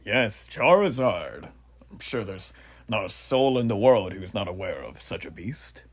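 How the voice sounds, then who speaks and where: An earnest revolutionary army officer, played by a man, formal and stately, with a low, husky voice. He rambles proudly and unhurriedly, rising and dropping into hushed asides as he addresses a recruit. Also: very animated, a little slow, no room sound, heavily acted. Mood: measured, instructing. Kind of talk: roleplay voice acting addressed to the listener.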